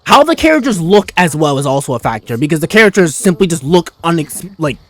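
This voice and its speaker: High-voiced man gushing over a video game. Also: very animated, fast. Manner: gushing, slangy, profane. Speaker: game streamer. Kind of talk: casual reaction commentary. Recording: game music behind the voice. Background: video game music and dialogue.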